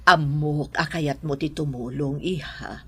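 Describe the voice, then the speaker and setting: Middle-aged mother, Ilocano accent, slightly low, husky, caring, radio drama. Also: steady tone, measured pace, bright, forceful tone, heavily acted. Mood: gentle concern.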